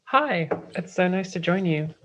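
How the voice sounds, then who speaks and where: A woman's voice: lowish voice, animated, a little slow. Dull-sounding recording of a hesitant London woman. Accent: London English. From London, England. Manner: hesitant, discursive, frequent "like" and "kind of". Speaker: interview guest, writer and editor. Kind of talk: casual explanatory interview talk. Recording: slightly dull lo-fi audio.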